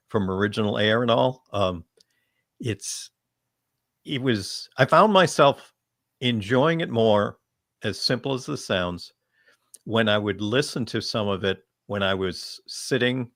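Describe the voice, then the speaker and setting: A man with a low, gravelly voice moderates a discussion panel. He speaks off the cuff and feels his way through each thought with measured phrasing. The sound is close and dry. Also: a bit bright, no room sound.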